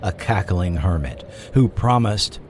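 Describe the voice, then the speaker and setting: Measured, expressive male documentary narrator. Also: sits low, a little hiss or hum. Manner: measured, dramatic, storytelling. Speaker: podcast narrator.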